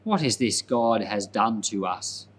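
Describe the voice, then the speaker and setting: A man's voice: fairly low pitch, expressive, measured pace, fairly bright tone, voice pushed down. A man voicing humble, anxious brothers in a scripture story, earnest and deferential. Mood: anxious, dismayed. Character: anxious, pleading group of brothers, humble grown men.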